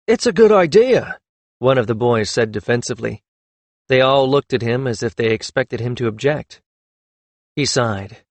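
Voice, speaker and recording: A man reading a fantasy novel aloud at a steady, measured pace, with a mid-range voice. He sits close to the microphone in a dry, deadened booth with no echo at all. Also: medium pitch. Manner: measured, even storytelling. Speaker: audiobook narrator. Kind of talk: scripted fantasy audiobook narration.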